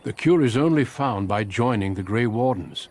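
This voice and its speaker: A man playing a seasoned, steady warrior recruiter in a fantasy game, speaking in a measured, formal and courteous manner. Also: mid-range voice, lightly acted. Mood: firm. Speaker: Grey Warden recruiter. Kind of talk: video game cutscene acting.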